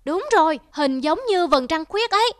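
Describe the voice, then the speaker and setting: A woman's voice: very high voice, lively intonation, normal speed, background noise. A woman voicing a sly, polite parrot in a children's cartoon, speaking in a coaxing way. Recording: music behind the voice.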